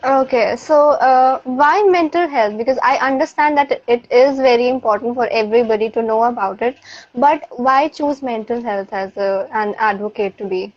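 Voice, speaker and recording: A woman's voice: on the high side, moderate pace, dull audio. A woman presenting an interview show as host, introducing topics and putting questions to a guest.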